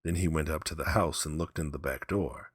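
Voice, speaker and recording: A man narrating a scripted story as an audiobook reader, in a measured, deliberate manner. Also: a bass voice, slightly rough, no room sound, studio quality.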